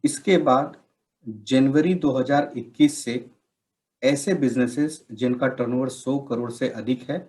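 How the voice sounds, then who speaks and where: A man with a medium-pitched voice presents a scripted training session. He speaks slowly and explains in measured phrases, setting out each point in turn. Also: dark tone. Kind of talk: scripted instructional presentation with slides.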